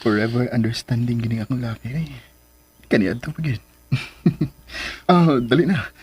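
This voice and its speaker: An actor with a Cebuano accent playing a playful, persuasive middle-aged sweetheart in a radio drama. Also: male voice, medium pitch, unhurried, a little mellow, slightly rough. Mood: coaxing.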